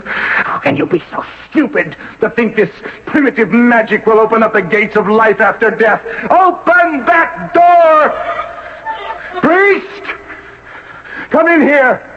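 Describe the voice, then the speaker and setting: A man's voice: very high-pitched, animated, takes it slowly, heavily acted. An actor playing a wrathful, imperious pharaoh and grieving father, scornful and declamatory, in 1970s radio drama style. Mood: scornful rage. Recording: dull, noisy old radio broadcast.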